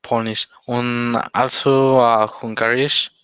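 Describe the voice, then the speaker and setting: Teenage guy, slow, flat. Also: mid-range voice, clean tone, no echo. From Mexico. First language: Spanish. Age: teens. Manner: hesitant, many pauses and fillers. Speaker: interview guest, language learner. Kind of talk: casual interview chat.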